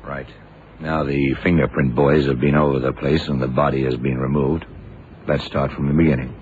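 An actor playing a dry, suspicious, world-weary private detective in an old radio drama, laconic and unruffled. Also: male voice, rumbling low, flat delivery, moderate pace. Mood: brooding, businesslike. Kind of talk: 1960s-70s radio drama acting. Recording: noisy, muffled old radio broadcast.

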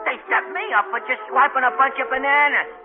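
A man's voice: very high-pitched, steady tone, fairly quick. An actor playing a cocky, tough boy from a New street gang in a 1940s radio drama, brash and slangy. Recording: muffled 1940s radio broadcast, faint music.

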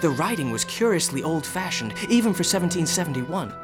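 Grave narrator over music. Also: male voice, fairly high pitch, sing-song, fairly quick. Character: grave storyteller of an eerie historical tale. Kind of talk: scripted radio drama narration. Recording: clear dramatic music underneath.

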